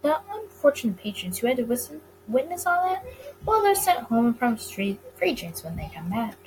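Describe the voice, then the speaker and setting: Female game reader, slightly high voice, narrating a story with small stumbles, faint background noise. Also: sing-song, moderate pace, darkish tone, rough recording. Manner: stumbles over words and rereads them. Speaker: let's-play reader of a visual novel. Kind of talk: reading a visual novel aloud, narration and character lines.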